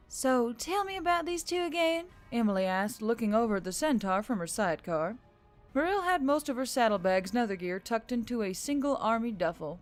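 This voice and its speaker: A woman narrates a written story in audiobook style. Her voice sits at an average pitch for a woman, and faint music plays behind her.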